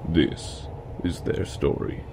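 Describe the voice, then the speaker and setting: A man playing an ancient, solemn immortal lord, delivering an epic fantasy prologue in a grave, measured manner. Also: lightly acted. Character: ancient solemn risen lord, being born of sparks. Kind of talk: slow epic prologue narration.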